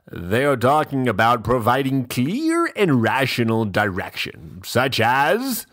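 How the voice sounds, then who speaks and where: A man playing a pompous, fussy, self-indulgent gentleman host who gives mock-literary dramatic readings with drawn-out, theatrical pauses. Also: lowish voice, exaggerated voice. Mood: earnest, grandiose.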